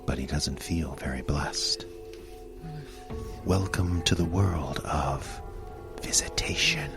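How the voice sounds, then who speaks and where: Male horror narrator; very deep, hushed and whispery, over a music bed. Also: takes it slowly. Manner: hushed, half-whispered delivery. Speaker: storyteller.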